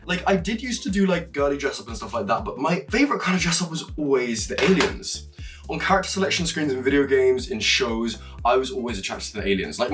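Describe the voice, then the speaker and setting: Young male vlogger from Norway, quick and animated, casual and cheeky, mic a little far off. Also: medium pitch. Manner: chatty and animated, swearing and laughing while explaining. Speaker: makeup vlogger. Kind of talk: casual tutorial chat.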